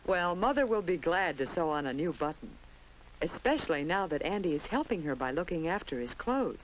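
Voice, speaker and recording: Expressive low-voiced American woman narrator, vintage film. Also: fairly quick. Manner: simple, storytelling delivery addressed to young children. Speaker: narrator of a children's educational film. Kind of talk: scripted 1950s instructional film narration. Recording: noisy old 1950s film soundtrack.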